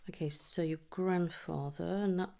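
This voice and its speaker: A soft-spoken woman in an ASMR medical roleplay, gently asking questions in a breathy voice that often slips towards a whisper. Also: fairly low pitch, steady tone. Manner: gentle, unhurried questioning with pauses for answers. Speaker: ASMR performer playing a trichologist.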